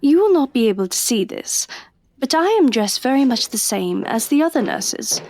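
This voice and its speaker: A woman's voice: high voice, big pitch swings, moderate pace, darkish tone, slight background noise. An actress playing a wry young undercover policewoman, confiding in the listener in an audio drama. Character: young woman undercover police agent, wry, posing as nurse. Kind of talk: first-person audio drama narration and dialogue.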